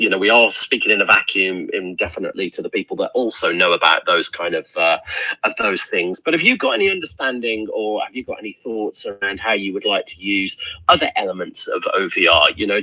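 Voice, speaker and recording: A middle-aged man hosts a casual online audio chat. His husky voice is bright and sits a little low. He talks in a chatty, rambling way and doubles back on his words. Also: rough recording.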